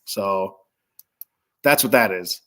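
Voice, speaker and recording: A man's voice: low-pitched, takes it slowly, fairly bright tone. A man hosting a casual live sports talk stream, blunt and rambling, swearing freely, with a stuffy nose. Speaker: sports talk show host.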